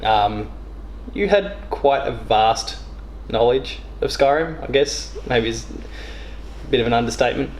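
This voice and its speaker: A man's voice: mid-range voice, a little slow, slight background noise, poor audio. A man hosts a casual podcast interview, speaking informally with roundabout phrasing.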